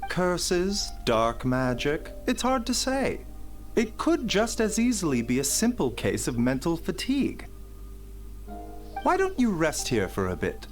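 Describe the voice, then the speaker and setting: Courteous, melodic high-voiced doctor. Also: male voice, wildly swooping pitch, soft background music, heavily acted. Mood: grave, reassuring. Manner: measured, articulate, drawn-out musing. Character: gentle, courteous, thoughtful male physician. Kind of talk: video game voice acting.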